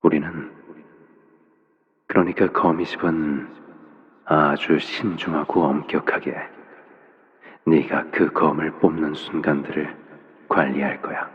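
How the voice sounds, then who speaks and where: A man voicing a soft-spoken, menacing father figure of a crime syndicate in a video game, with gentle parental phrasing. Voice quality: very deep, low voice. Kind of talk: scripted video game voice acting. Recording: long reverb, dull muffled sound.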